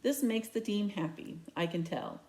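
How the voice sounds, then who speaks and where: A woman's voice: mid-range voice, animated, moderate pace, dark tone. A woman reading a storybook aloud as narration, telling the tale in the first person. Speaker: read-aloud reader.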